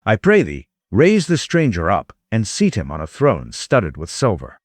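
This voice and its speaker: A man's voice: on the low side, huge pitch swings, normal speed, no room sound, clean studio sound. A man playing an eloquent old chief in an epic audio drama, speaking with formal, pleading oratory. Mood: earnest, urging. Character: eloquent old chief counselling his king, courteous, urgent.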